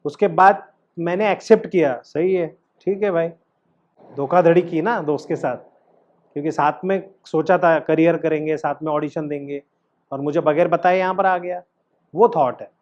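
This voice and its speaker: Male acting coach, fairly high voice, instructive and questioning, slightly dull recording. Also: normal speed, darkish tone. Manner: instructive, emphatic, frequently questioning the student. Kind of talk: teaching an acting class with demonstrated lines and questions.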